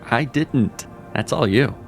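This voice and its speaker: A man's voice: mid-range voice, very animated, extremely slow, creaky, soft background music, full character voice. A man voicing a cryptic male mage mentor in an audio drama, easygoing and teasing, often chuckling as he talks.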